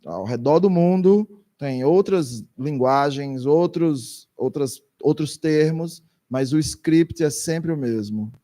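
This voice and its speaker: Hesitant male interpreter, slightly high voice. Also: expressive, takes it slowly, hardly any pauses, dark tone. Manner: hesitant, with many restarts. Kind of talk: consecutive interpretation.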